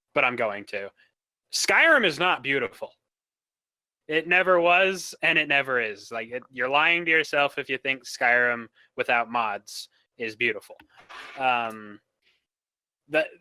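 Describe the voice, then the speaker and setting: A man's voice: medium pitch, bright, forceful tone, clear, resonant tone, no room sound. A man giving blunt, emphatic opinions in a casual podcast discussion as a guest.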